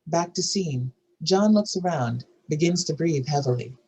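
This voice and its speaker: A woman with a husky voice reads scripted narration and stage directions for a drama table read. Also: sits low, animated, unhurried, darkish tone, rough recording.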